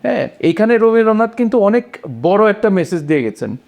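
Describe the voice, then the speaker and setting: A man's voice: high-pitched, very animated, fairly quick, a little mellow. A man explaining a literary point, repeating phrases for emphasis. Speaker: panelist.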